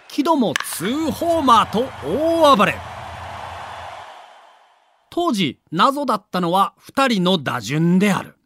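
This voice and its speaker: High, animated male storyteller. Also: dry recording, studio quality. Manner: vivid storyteller, builds excitement. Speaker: narrator. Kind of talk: dramatic scripted narration.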